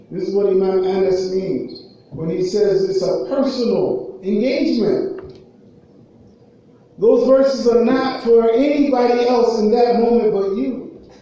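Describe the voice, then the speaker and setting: A man with an American accent preaching a sermon in an emphatic way, repeating phrases and pausing between them. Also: sits high, dark tone. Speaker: preacher giving a Friday khutbah. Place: indoor prayer hall, at a raised pulpit. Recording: muffled, very echoey hall, far from mic.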